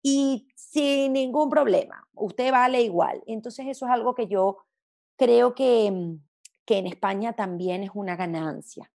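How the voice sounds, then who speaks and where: A woman from Venezuela speaks in a fairly high voice with noticeable fry. Her Latin American accent is hard to place. She talks as a guest in a reflective, conversational interview, with an expressive way of putting things. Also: a little slow. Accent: Spanish of mixed Latin American sound, hard to place. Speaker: interview guest, gastronomy journalist.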